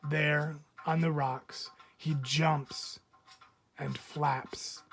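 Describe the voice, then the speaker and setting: A man reading a picture book aloud as a teacher would, expressively, with deliberate dramatic phrasing and frequent pauses. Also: fairly high pitch, flat delivery, vocal fry. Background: background music.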